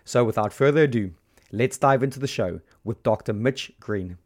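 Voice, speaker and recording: A man's voice: medium pitch, moderate pace, no room sound, studio quality. A man hosting a podcast interview, encouraging his guest with friendly questions and frequent backchannels. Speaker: podcast host.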